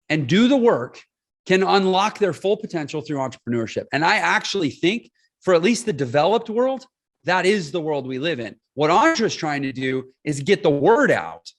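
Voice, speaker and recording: Forties guy, pretty high voice, pushy emphatic podcast guest, keeps saying right. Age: 40s. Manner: emphatic, explains with figures, asks "right?" often. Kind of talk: casual interview talk.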